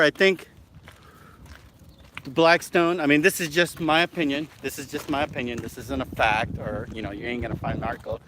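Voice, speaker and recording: A middle-aged man from Alaska hosting a casual live-stream vlog, excitable and chatty with lots of fillers, filmed outdoors on a handheld camera. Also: medium pitch, noisy background. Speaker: vlog host.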